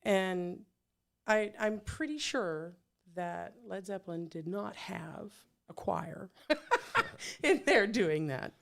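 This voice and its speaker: A woman's voice: medium pitch, very animated, slow pace, creaky, fairly bright tone. A middle-aged woman hosting an interview, chatting casually and rambling with many fillers and restarts. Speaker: podcast host.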